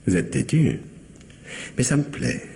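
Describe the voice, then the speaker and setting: An actor playing a smug, lecherous, menacing brother-in-law in a French radio mystery, insinuating, mocking and domineering. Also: male voice, mid-range voice, huge pitch swings, a little slow, vocal fry, dark tone, long reverb tail, slight background noise, full character voice. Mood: insinuating, seductive.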